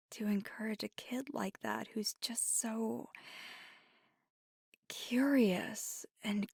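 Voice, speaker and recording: Soft, breathy, unhurried playful girlfriend played by a woman. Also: fairly high pitch, no room sound, clean studio sound, exaggerated voice. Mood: soft, amused. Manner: soft, breathy, often half-whispered, unhurried, giggly. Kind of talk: ASMR girlfriend roleplay, intimate storytelling.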